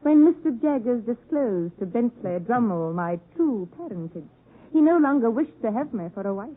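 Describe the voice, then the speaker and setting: An actress playing a proud, wounded young English gentlewoman in a measured, formal, melodramatic 1950s radio drama style. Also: a little high, expressive. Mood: cold, resigned. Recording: tinny vintage 1950s radio, music behind.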